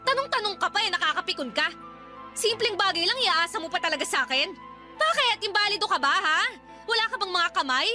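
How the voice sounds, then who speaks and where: High-pitched, exasperated Filipino young wife. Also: female voice, animated, a little fast, over music. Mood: angry, scolding. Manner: scolding, pleading, emphatic rhetorical questions. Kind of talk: Filipino radio drama acting.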